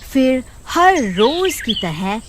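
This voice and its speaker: A woman's voice: sits high, very animated, extremely slow, background noise. A woman narrating a moral story from a script in a dramatic storytelling style. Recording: loud cattle and cricket sounds behind.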